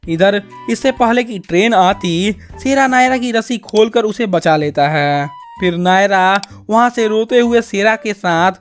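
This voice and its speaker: A woman's voice: medium pitch, a little slow, few pauses. Woman narrating a story over music. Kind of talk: scripted Hindi cartoon story narration.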